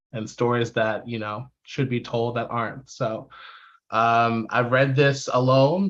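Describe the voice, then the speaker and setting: A man's voice: medium pitch, highs cut off. A man speaking as a panelist in an informal, thoughtful and earnest way. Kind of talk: casual reflective discussion.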